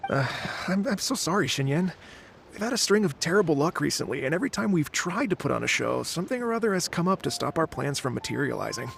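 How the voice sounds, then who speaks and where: An actor playing a polite, earnest and cautious musician in a video game, speaking in a formal, hesitant way. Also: male voice, fairly high pitch, sing-song, quick pace, creaky, fairly bright tone, slight background noise, exaggerated voice. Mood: apologetic, hesitant.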